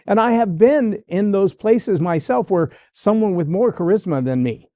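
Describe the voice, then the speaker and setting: A male podcast host delivers an emphatic monologue, piling up short parallel phrases to drive each point home. His voice sits a little high yet has a warm, mellow tone. The sound is dry and close, with no room in it. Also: animated, normal speed, dry recording. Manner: emphatic, repeats phrases in building lists.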